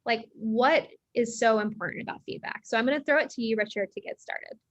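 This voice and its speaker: A woman's voice: on the high side, fairly quick, no echo, muffled recording. An American woman hosting an online webinar as an education company head, upbeat and chatty with frequent fillers, on a video call. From United States.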